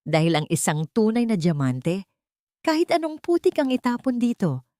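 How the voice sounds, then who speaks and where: A woman narrating a dramatic love story in a measured, emotive storytelling style. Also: fairly high pitch, big pitch swings, warm tone, no echo, studio quality.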